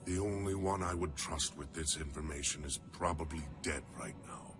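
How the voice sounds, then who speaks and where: A man voicing a loyal alien warrior in a game cutscene, solemn, formal and resolute yet mournful. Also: a bass voice. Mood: grave. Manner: solemn, formal, measured phrasing. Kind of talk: dramatic game cutscene acting. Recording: loud music underneath.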